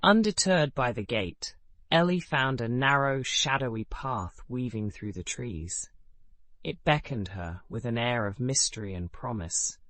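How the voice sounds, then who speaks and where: A man narrating a scripted bedtime story in a calm, measured way, his sentences falling away at the ends. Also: medium pitch, no echo.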